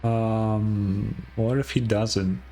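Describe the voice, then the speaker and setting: Tired girl streamer, really deep voice, talks slow and mumbly, just reacting to a game. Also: steady tone, mellow, soft background music. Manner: tired, hesitant, muttering. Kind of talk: casual game reaction commentary.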